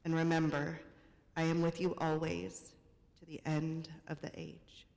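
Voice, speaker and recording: A woman's voice: low-pitched, little pitch movement, unhurried, darkish tone. A woman reading scripture aloud in a church service. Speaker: scripture reader. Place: church sanctuary. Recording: long hall reverb.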